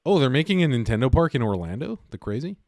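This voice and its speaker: A man's voice: mid-range voice, big pitch swings, fast talker, a little mellow, no room sound, clean studio sound. A man hosting a casual livestream, talking informally and thinking aloud as he goes. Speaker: livestream host. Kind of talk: casual livestream chat about investing.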